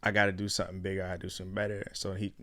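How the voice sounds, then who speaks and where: A man's voice: low voice, monotone, rapid-fire, a bit bright, no room sound, studio quality. A young man born in Ghana and raised in Canada, a guest in a casual interview, talking in a laid-back, slangy way and swearing freely.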